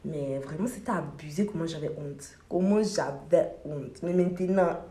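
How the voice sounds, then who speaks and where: A woman's voice: sits low, moderate pace, dark tone. A young woman talking to camera in a casual vlog while doing her makeup, telling her story in an emphatic, repetitive way. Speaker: beauty vlogger. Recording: echoey room.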